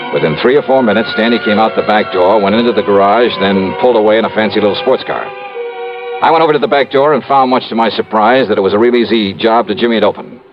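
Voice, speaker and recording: An American actor playing a brisk, self-assured male insurance investigator in an old-time radio drama, with clipped, wry delivery. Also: on the low side, natural voice. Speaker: lead actor and narrator. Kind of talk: old-time radio detective drama, first-person narration. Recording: dull old radio recording with music behind.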